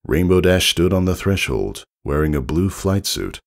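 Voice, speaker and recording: A man reading scripted story narration with dramatic, measured phrasing. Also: sits low, very animated, vocal fry. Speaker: fan fiction narrator.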